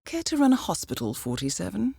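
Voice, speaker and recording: A woman voicing a composed middle-aged intelligence handler in a video game, measured and formal with dry understatement. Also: medium pitch, huge pitch swings, vocal fry, dark tone, husky, no echo, exaggerated voice. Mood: dryly amused. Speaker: mission handler. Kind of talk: scripted game voice acting, mission briefing.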